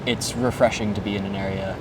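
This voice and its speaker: A man in his twenties from the United States, answering interview questions outdoors in a hesitant, thoughtful way with many pauses and ums. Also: fairly low pitch, fairly even intonation. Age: 20s. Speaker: interview guest. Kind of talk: casual street interview. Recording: distant mic, loud traffic noise.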